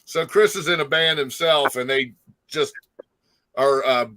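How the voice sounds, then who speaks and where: A man's voice: a little high, a little slow, bright, forceful tone, rough recording. A man hosting a casual music interview, talking in an informal, rambling, encouraging way. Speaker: talk show host interviewing a musician.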